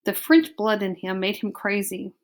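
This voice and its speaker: A woman's voice: medium pitch, expressive, normal speed, natural voice. Woman doing a blustering, indignant father mouse. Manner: loud, indignant, declaiming.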